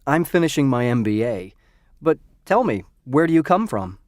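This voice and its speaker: An actor playing a friendly young male university student in a scripted textbook dialogue, speaking clearly. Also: mid-range voice, normal speed, no echo, clean studio sound, natural voice. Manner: lively, clearly enunciated. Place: recording studio.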